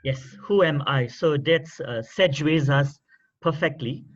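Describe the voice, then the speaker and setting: A man's voice: a little high, takes it slowly. A middle-aged man casually hosting an online meeting as its facilitator. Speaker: session facilitator. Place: indoors on a group video call. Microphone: video call microphone. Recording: dull video-call audio.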